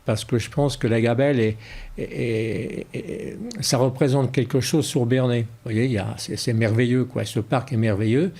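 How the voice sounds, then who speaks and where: A man's voice: mid-range voice, fairly even intonation, normal speed, a little mellow. A man being interviewed in a casual way, repeating words as he searches for his phrasing. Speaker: interview guest, horse-drawn carriage driver.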